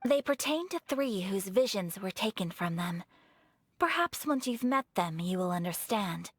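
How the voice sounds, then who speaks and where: A woman voicing a refined young noble lady in a video game, with gracious, formal, measured speech. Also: medium pitch, sing-song, breathy edge, dry recording. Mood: composed, earnest.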